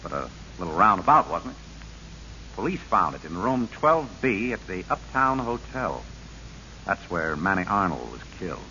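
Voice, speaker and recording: A man's voice: low-pitched, very animated, moderate pace, pushed and bright, full character voice. An actor playing an earnest, resolute lawman who speaks sternly and emphatically in a 1940s radio drama. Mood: probing. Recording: hissy, dull old radio broadcast.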